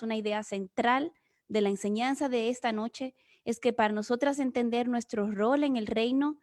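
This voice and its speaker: A woman's voice: on the high side, a little mellow. A woman from the Dominican Republic with a Dominican accent, giving an earnest, explanatory Bible teaching as guest speaker. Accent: Dominican Spanish. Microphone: remote video session.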